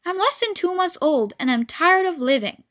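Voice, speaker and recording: A woman's voice: very high-pitched, animated, normal speed, a bit bright, pitched above the performer's own voice. A woman voicing a lonely, bored young male pig who mopes and complains. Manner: mopey and complaining.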